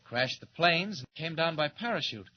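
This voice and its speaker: An actor playing a modest, mild-mannered newspaper reporter in a 1940s radio drama, polite and self-effacing. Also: male voice, on the high side, lively intonation, moderate pace, lightly acted. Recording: muffled noisy 1940s radio recording.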